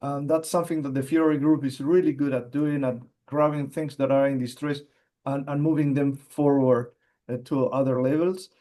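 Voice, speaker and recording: Argentine-accented man, mellow and halting. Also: mid-range voice, steady tone. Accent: Argentine Spanish. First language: Spanish. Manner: halting, many "uh" fillers, repeats words. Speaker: mining company executive, geologist. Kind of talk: interview answers.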